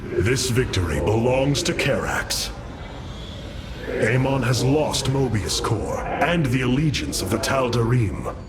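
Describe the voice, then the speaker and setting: Alien commander, video game, mid-pitched, slow measured oratory, resolute, noble, loud score behind. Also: male voice, sing-song. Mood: solemn, proud. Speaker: military leader. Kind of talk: video game cinematic acting. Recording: dramatic music behind the voice.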